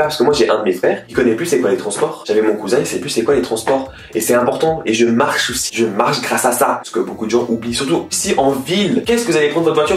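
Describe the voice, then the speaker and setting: A young man chatting casually in a rambling way as a vlogger reacting to viewers. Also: mid-range voice. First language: French. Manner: chatty, rambling, quick asides. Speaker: YouTuber. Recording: echoey room, distant mic.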